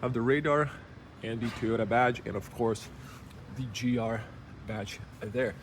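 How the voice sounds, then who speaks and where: A man with a slightly rough early-morning voice, giving a casual, unscripted walkaround review in halting, item-by-item phrases. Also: medium pitch, a little hiss or hum. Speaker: car reviewer. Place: car show exhibition space.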